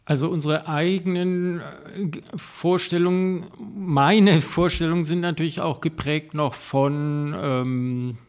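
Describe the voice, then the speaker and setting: A man, a theatre critic and podcast guest, speaking thoughtfully and pausing with many fillers. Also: fairly high pitch, steady tone, a little mellow. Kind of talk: podcast interview.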